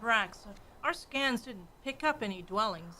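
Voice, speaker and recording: Female space commander, played by a woman, sci-fi radio play: anxious, inquisitive, lively high-ish voice, faint background noise. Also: measured pace. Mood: curious. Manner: excitable, questioning.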